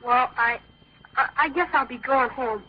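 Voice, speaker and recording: A man's voice: very high-pitched, unhurried, husky, full character voice. A young male actor playing an earnest, bashful, hesitant thirteen-year-old boy in an old-time radio drama. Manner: hesitant, eager. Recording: dull old radio recording.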